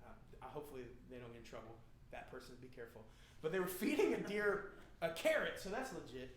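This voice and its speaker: A man's voice: medium pitch, expressive, brisk. An American man hosting a band's live stream, telling stories and joking in a playful, rambling, slangy way. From Indiana, United States. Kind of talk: casual joking banter with viewers. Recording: roomy, echoing live stream sound.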